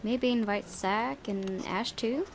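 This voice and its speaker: A woman's voice: fairly high pitch, slow, gravelly, slight background noise. A woman let's player reading visual novel narration aloud, with casual asides and occasional stumbles that she corrects. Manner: stumbles over words and corrects herself.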